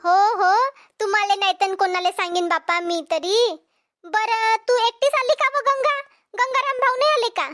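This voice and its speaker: A woman voicing an excitable, exasperated village farm wife in a cartoon comedy, with a Vidarbha Marathi accent and an exclamatory, complaining way of talking. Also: extremely high pitch, moderate pace, soft background music. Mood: friendly chatty.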